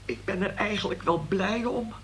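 A woman's voice: mid-range voice, normal speed, a bit bright, husky. An actress playing an older, football-mad, moralizing mother in a radio play, rambling, opinionated and given to exclamations. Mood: confiding. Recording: noisy old radio play recording.

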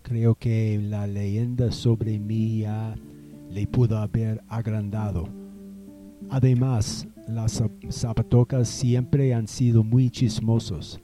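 A man acts out a courtly, proud settler from another century. His voice is low and very slow, his formal and halting, with music playing behind him in a radio drama. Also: level tone. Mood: unashamed, defensive.

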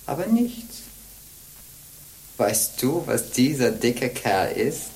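A woman's voice: rumbling low, very animated, slow pace, a little mellow, full character voice, low-quality recording. An actress in a German radio play playing a sly old witch go-between, coaxing and chuckling in a theatrical way. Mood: mocking, amused. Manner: wheedling, chuckling, theatrical swings.

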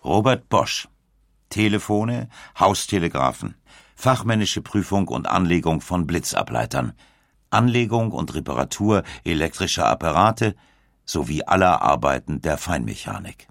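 Male narrator for scripted documentary, bass register, gravelly, recorded bone-dry. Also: big pitch swings, unhurried, fairly bright tone, no echo.

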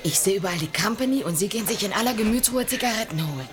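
A woman's voice: mid-range voice, animated, racing, music in the background, lightly acted. An actress playing a proud, wary young businesswoman in a German radio play, cool and pointed in her delivery. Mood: anxious, watchful. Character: proud, wary young businesswoman seeking independence from rich father.

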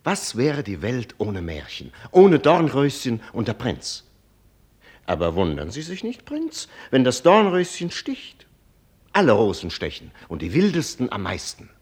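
An actor playing a witty, ironic doctor who mentors a prince, urbane and teasing, in a German radio play. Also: male voice, medium pitch, wildly swooping pitch, measured pace, darkish tone, breathy edge, full character voice. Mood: teasing, poetic. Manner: urbane, teasing, theatrical with pointed hesitations.

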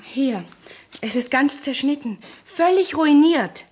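An actress playing an insistent, deferential housemaid in a radio crime drama. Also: female voice, sits high, animated, takes it slowly, a little mellow. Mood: accusing. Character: accusing, deferential female housemaid. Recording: 1970s studio radio play recording.

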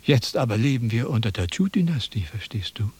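An actor playing a pompous, self-important ancient Chinese sage in a radio drama, lecturing in a condescending, impatient way. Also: male voice, mid-range voice, lively intonation, a little fast, darkish tone, husky, no echo. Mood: lecturing, intrigued. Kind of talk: theatrical radio drama dialogue.

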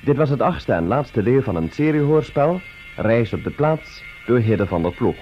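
A man's voice: medium pitch, very animated, normal speed, darkish tone, faint music behind. A man working as a radio announcer, reading scripted credits. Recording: muffled old radio recording.

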